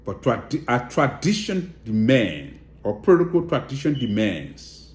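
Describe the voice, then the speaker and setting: A man's voice: medium pitch, huge pitch swings, measured pace, roomy sound. A man with a Liberian accent giving direct, emphatic political commentary and appealing to viewers. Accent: Liberian English.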